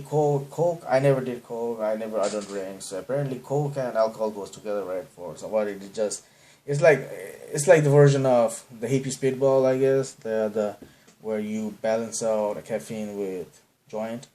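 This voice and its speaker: A man's voice: mid-range voice, warm tone. Rambling Bangladeshi-accented man. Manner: rambling, trails off and restarts mid-sentence, self-deprecating. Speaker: podcast host. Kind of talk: casual rambling monologue.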